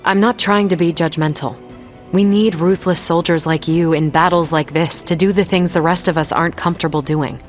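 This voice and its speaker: A woman's voice: lowish voice, fairly even intonation, fairly quick, lightly acted. An actress plays a candid, sardonic superheroine from a video game. She talks bluntly and colloquially. The sound is narrow and phone-like, with music behind her. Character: frank, sardonic soldierly superheroine, adult woman. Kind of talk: video game character dialogue.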